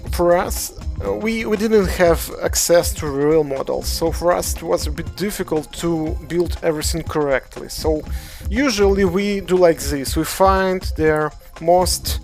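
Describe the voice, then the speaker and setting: A speaker from Ukraine with a Ukrainian accent, a game developer casually walking through models on a livestream and answering chat in halting English full of hesitations. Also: medium pitch, creaky, a little mellow, faint music behind. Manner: halting non-native English, frequent hesitations.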